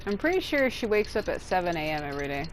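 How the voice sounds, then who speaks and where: A woman's voice: lowish voice, very animated, fast, slight background noise. A woman giving casual, unscripted gameplay commentary, thinking aloud in a rambling way and swearing casually. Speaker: video game streamer.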